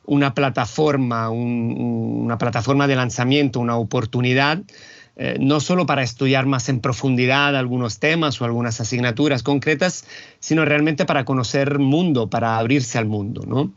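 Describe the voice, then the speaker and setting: Italian-born man, thoughtful and measured. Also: medium pitch, no room sound, highs cut off. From Italy. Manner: thoughtful, searching for words, measured. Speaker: guest. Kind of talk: reflective interview talk. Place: home, Barcelona.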